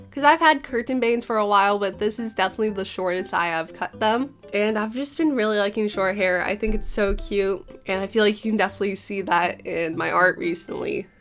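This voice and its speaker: A young woman narrating her drawing process in a chatty, rambling, apologetic way, her voice a little hoarse after being sick. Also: mid-range voice, a bit bright. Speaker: art channel host. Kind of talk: casual voice-over narration of a drawing.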